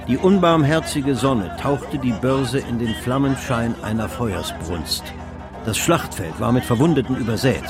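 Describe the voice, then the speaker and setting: An actor playing a grave, vivid literary narrator in a radio drama, measured and dramatic. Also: male voice, medium pitch, lightly acted.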